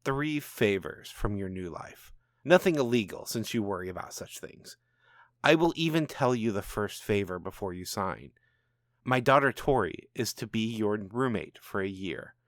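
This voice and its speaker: A man voicing a calm, knowing, commanding supernatural father in a storybook reading, speaking in a measured, taunting way. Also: lowish voice, no echo, studio quality. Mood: pleased, sly. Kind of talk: storybook narration dialogue.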